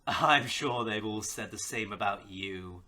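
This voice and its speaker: A man's voice: lowish voice, unhurried, sharp tone. A man playing an arrogant, menacing crime boss in an audio roleplay, speaking with theatrical condescension. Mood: sinister, amused. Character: menacing, arrogant male crime boss, smug mentor turned captor. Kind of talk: audio roleplay villain acting.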